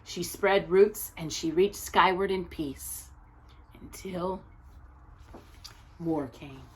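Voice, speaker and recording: A woman reading a children's picture book aloud as a storyteller, with expressive narration and deliberate, dramatic pauses between short phrases. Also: on the low side, a little hiss or hum, rough recording.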